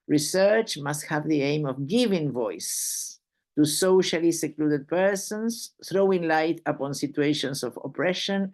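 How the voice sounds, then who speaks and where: Gravelly woman presenter. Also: deep voice. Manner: warm, laughs often, repeats herself. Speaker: webinar presenter. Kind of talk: academic talk then Q&A.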